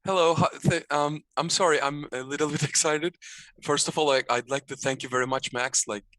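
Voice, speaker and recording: A man's voice: a little high, steady tone, a little fast, fairly bright tone, no echo, low-quality recording. A Turkish man calling in over a video call, talking in hesitant, apologetic bursts with lots of restarts, English not his first language. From Turkey. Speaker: caller. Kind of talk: nervous call-in chat.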